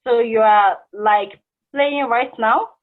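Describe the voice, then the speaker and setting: A woman's voice: on the high side, slow. A woman playing a friendly, curious, somewhat awkward drive-through cashier in a casual play reading, speaking hesitantly and informally. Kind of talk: casual table read of a stage play. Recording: muffled, phone-like band-limited call audio.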